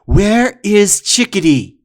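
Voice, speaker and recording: A man doing a fierce warrior woman, snarling threats, forceful, raised voice, storybook. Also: fairly high pitch, lively intonation, takes it slowly, pitched above the performer's own voice.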